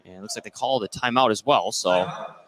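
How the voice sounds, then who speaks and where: A man's voice: fairly low pitch, fast talker, crowd noise. A man calling live basketball play-by-play for a radio broadcast. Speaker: play-by-play announcer. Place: indoor basketball gym, live game. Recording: noisy live gym broadcast.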